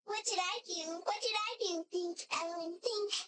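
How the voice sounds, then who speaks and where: A woman voicing a young, earnest and excitable fantasy knight character in an anime-style video game. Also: very high-pitched, moderate pace. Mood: flustered, panicky.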